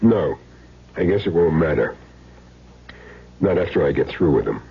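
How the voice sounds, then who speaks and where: Very deep, husky, laconic hitman. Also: expressive, normal speed, full character voice. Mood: businesslike. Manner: terse, matter-of-fact. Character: Jim Derry, cool laconic hitman back in town. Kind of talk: 1970s radio drama acting. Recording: dull lo-fi old radio recording.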